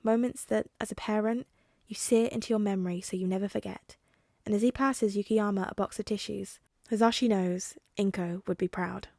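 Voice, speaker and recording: A woman's voice: medium pitch, fairly even intonation, moderate pace, warm tone, dry recording. Woman narrating a story, dry close sound. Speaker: audiobook reader. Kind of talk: storybook narration of fan fiction.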